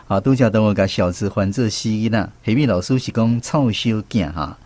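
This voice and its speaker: A man's voice: on the low side, brisk, a little mellow. A man with a Taiwanese accent hosting an educational language quiz on the radio. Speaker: radio host of a language segment.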